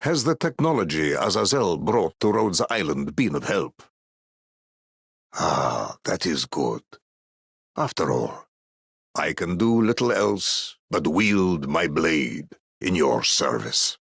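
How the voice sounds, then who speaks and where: Very deep, gravelly war-weary swordsman. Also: big pitch swings, fairly bright tone, no echo, clean studio sound, full character voice. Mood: solemn gratitude. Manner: measured, solemn, formal. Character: grave, courteous, war-weary male blade fighter. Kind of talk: scripted game character monologue.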